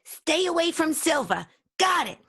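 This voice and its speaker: A woman's voice: extremely high pitch, big pitch swings, unhurried, sharp tone, heavily acted. A woman playing a naive, scheming apprentice werewolf with rustic, folksy speech in an improvised radio play. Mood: chipper.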